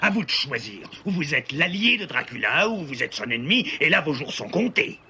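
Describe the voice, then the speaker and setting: A man's voice: on the high side, sing-song, a little fast, very noisy. A man voicing a boastful, menacing animal gang boss in a cartoon dub, imperious and commanding. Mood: domineering.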